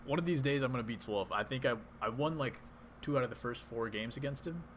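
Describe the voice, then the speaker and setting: A man's voice: mid-range voice, fast talker, slight background noise. A man casually commentating his own baseball video game on a live stream, chatting with and answering his viewers as he plays. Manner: chatty, reads and answers chat while playing.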